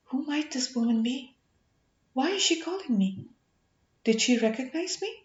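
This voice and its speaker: A woman voicing a charming, persuasive young male gem merchant in a storybook, with a deliberate manner. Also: high voice, animated, echoey room, lo-fi sound, pitched above the performer's own voice. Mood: anxious wondering.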